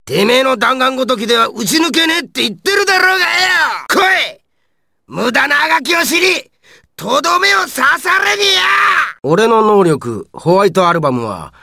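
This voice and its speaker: A hot-tempered ice villain from an anime video game. The voice is very high for a male, harsh and gravelly, and it swings wildly in pitch. The delivery is coarse, aggressive and menacing. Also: a bit bright, no echo, studio quality, full character voice. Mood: aggressive, triumphant. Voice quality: raspy, gravelly.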